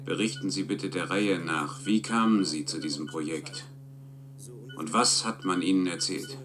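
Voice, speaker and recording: A grave questioner in a science-fiction radio drama. His voice is very low and subdued, kept calm and controlled. Music plays clearly behind him. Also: moderate pace. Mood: calm, probing. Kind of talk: German science-fiction radio play acting.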